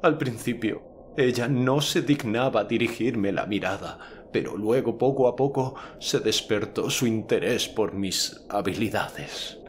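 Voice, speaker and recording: Man acting a hoarse, despairing knight hero. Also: on the low side, unhurried, slight background noise, pitched above the performer's own voice, natural voice. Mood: bitter, despairing. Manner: dejected, bitter. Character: defeated knight hero, despairing, proud of his strength.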